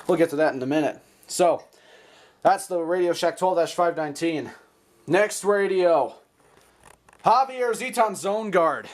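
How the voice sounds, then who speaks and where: Chatty young-sounding high-voiced American man. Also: expressive, measured pace, slight background noise. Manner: chatty, playful, slangy. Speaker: hobbyist video host. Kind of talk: casual show-and-tell vlog.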